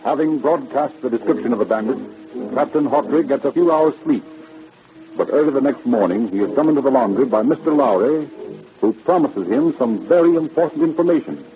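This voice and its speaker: An actor narrating an old-time radio crime drama in a measured, formal, scripted style. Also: male voice, mid-range voice, animated, narrow, tinny audio, soft background music, lightly acted. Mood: measured, factual.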